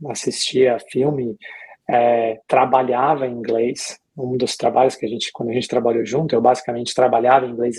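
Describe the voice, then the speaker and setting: A middle-aged man with a Brazilian Portuguese accent, speaking as an interview guest in a casual, reflective way, pausing and repeating himself as he recounts his experience. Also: mid-range voice, mellow, breathy edge, low-quality recording. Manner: reflective, hesitant with pauses and repetitions. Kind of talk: casual conversational storytelling.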